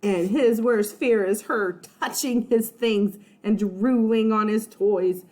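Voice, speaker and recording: A woman's voice: mid-range voice, slow, darkish tone. A woman reading a children's storybook aloud like a first-grade teacher, expressively, with explanations and check-ins for young listeners.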